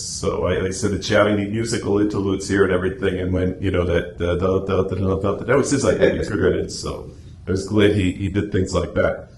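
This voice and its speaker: A man gives an informal presentation in a low voice. He rambles conversationally as he explains, in an echoey room with faint noise. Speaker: club meeting presenter.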